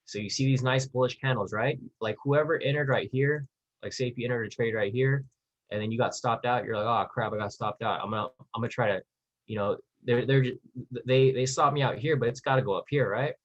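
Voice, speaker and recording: Husky man teaching a casual online webinar. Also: medium pitch, fairly quick, dull audio. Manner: informal, slangy, conversational, checks in with listeners. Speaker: trading instructor hosting a live webinar.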